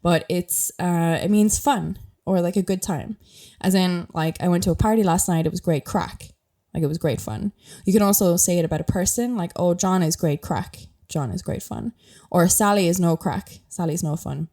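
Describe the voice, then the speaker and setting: Young irish woman, mild accent, bit sick and coughy, mellow lowish voice, chatty podcast host. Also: moderate pace. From Ireland. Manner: chatty, laughs often, rambling with fillers. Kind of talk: casual explanatory chat.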